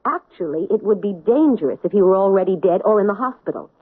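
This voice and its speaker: An actress playing a precise, methodical doctor who investigates in an old radio drama. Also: female voice, mid-range voice, lively intonation, quick pace, husky, dry recording. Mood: probing. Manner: precise, reasoning step by step. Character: sharp methodical woman doctor-sleuth. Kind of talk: 1970s radio drama acting. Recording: dry tinny old radio broadcast.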